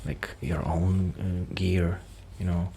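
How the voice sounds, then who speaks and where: A soft-spoken man rambling casually in a shy, hesitant, apologetic way, in the style of an ASMR friend roleplay. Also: low-pitched, flat delivery, slow pace. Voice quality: soft-spoken, sometimes dropping toward a whisper. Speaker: ASMR roleplay performer playing a musician friend. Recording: clear background noise.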